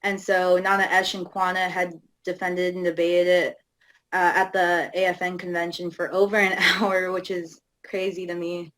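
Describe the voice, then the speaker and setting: A woman's voice: fairly low pitch, little pitch movement, normal speed, a bit bright, low-quality recording. A young woman activist from Alaska talking hesitantly in a casual panel conversation, with many fillers. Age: young adult. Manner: hesitant, many fillers, laughs between phrases. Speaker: youth activist guest.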